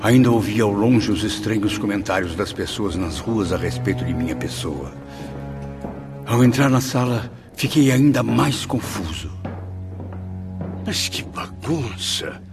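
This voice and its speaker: A man's voice: fairly low pitch, a little slow, over music. An actor playing the anguished, proud, blind ghost of a male writer, lamenting and pleading theatrically in a radio drama. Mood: bewildered, indignant. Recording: noisy radio drama with ambient sound.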